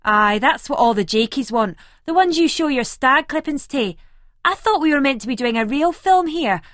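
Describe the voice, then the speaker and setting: A woman's voice: sits high, lively intonation, normal speed, fairly bright tone, dry recording, studio quality, pitched above the performer's own voice. A woman voicing a fussy, earnest young Scottish man who is sarcastic and challenging, in an audiobook. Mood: exasperated.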